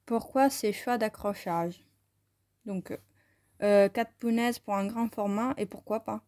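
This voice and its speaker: Calm woman reading. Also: mid-range voice, slow pace. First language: Italian. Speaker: photography student presenting her work. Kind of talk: reading a scripted self-interview.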